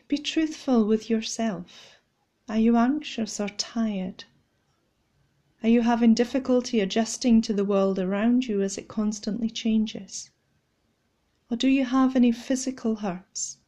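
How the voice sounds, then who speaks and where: Slow, mellow woman guiding a meditation. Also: fairly high pitch. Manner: gentle, measured, instructional. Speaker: guided meditation leader.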